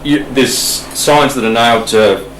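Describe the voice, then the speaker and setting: A man explaining things informally and haltingly, repeating words, in an echoey room away from the mic. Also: mid-range voice, fairly bright tone. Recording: echoey room, distant mic, faint noise.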